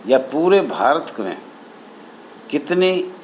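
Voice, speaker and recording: A man speaking as an association spokesman, reading out a formal numbered appeal in a halting, deliberate, earnest way. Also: a little high, very animated, rough recording. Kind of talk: formal appeal, reading numbered points aloud with added commentary. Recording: noticeable background noise.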